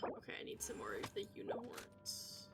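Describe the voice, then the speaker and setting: A woman streaming a video game, giving casual, rambling commentary in an informal, musing way. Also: moderate pace. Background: music.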